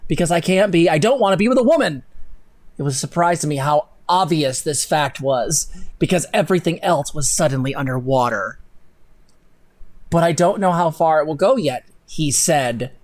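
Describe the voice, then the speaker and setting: Higher-pitched young man, dry close sound. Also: lively intonation, moderate pace, no room sound. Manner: mocking, theatrical, sweary. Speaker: live stream host. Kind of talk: dramatic reading with sarcastic commentary. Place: home room, indoors.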